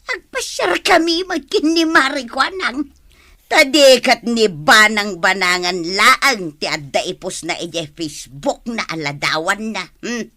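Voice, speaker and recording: A woman's voice: fairly high pitch, unhurried, sharp tone. A woman playing an eager village wife in a comedy drama, drawling and insistent. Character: eager village wife planning outings for social media.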